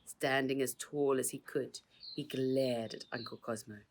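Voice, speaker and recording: Creaky-voiced woman narrating a children's story. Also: a bass voice, fairly even intonation, unhurried, darkish tone, a little hiss or hum. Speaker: author reading aloud.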